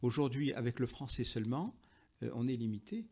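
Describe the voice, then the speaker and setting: A man's voice: medium pitch, animated, a little fast, darkish tone. A man speaking as an expert interview guest, explaining and arguing his points emphatically. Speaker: interview guest, linguist and author. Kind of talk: explanatory interview talk, argumentative.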